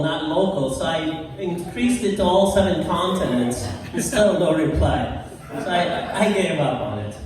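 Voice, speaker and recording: An older man from Iraq with an Iraqi accent, performing a self-deprecating stand-up routine in a bar and chuckling through his punchlines. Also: a little high. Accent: Iraqi Arabic. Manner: self-deprecating, unhurried punchlines with laughing asides. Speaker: stand-up comedian. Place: bar stage. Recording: echoey bar from far off.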